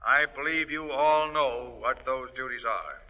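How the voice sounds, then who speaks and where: A man's voice: mid-range voice, unhurried, phone-quality sound, natural voice. Commanding prison warden voiced by a man, formal speech, phone-thin old radio audio with long reverb. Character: stern, authoritative male prison warden. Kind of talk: 1940s radio acting.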